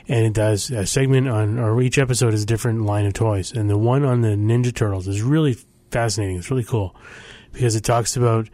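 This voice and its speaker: A man's voice: on the low side, steady tone, brisk, hardly any pauses, warm tone, no echo. A middle-aged man hosting, chatting casually between songs with rambling, sarcastic asides and frequent self-corrections. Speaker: host.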